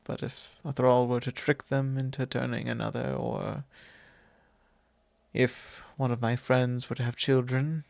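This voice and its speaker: A man playing a courteous, confiding vampire lord in a soft, intimate ASMR roleplay, speaking solemnly and tenderly in measured, formal, hesitant phrases close to the microphone. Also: medium pitch, level tone, creaky, no room sound. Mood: worried, earnest.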